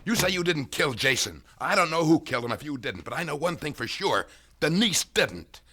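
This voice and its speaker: An actor playing a loyal, guilt-ridden man in a 1970s radio mystery, earnest and forceful. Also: on the high side, very animated, brisk, breathy edge, heavily acted. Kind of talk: 1970s radio drama acting.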